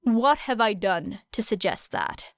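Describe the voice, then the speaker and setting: A woman voicing a guarded, sarcastic teenage girl with a dry, deadpan manner in an audiobook. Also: mid-range voice, unhurried, no echo. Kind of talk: audiobook character voice.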